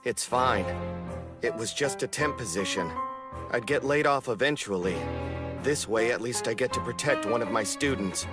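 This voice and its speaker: A man's voice: mid-range voice, normal speed, reverberant, loud music behind the voice, natural voice. An actor playing a young male teacher in an English anime dub, theatrical, sarcastic and defiant. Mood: resigned, gentle. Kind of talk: animated anime dub acting.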